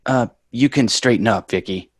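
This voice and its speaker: A man's voice: mid-range voice, moderate pace, dry recording, natural voice. A man playing a bratty, exasperated young boy in a sitcom table read, brash and sarcastic.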